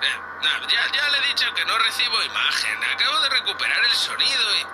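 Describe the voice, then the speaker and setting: A man's voice: high-pitched, very animated, a little fast, music in the background, low-quality recording. A man with a Castilian Spanish accent playing a nervous, deferential young spaceship crewman in a sci-fi audio drama. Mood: puzzled, nervous. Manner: hesitant, anxious, deferential, full of questions.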